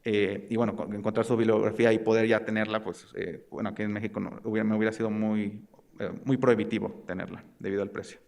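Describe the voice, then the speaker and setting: Low-voiced young Mexican man, halting delivery. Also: little pitch movement, vocal fry, a little mellow. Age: young adult. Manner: hesitant, halting delivery with searching pauses. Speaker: graduate student presenting research. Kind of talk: academic research presentation.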